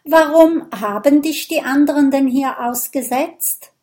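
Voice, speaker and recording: A woman's voice: high voice, unhurried, warm tone, pitched above the performer's own voice. A woman voicing a small, friendly young dragon who speaks in a matter-of-fact, lightly teasing way. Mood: curious.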